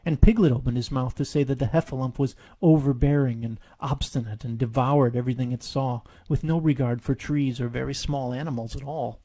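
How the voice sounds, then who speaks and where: A man narrating his own written story in a scripted storybook style, with a gravelly voice. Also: medium pitch, fairly even intonation, fairly quick, hardly any pauses, dark tone, no echo.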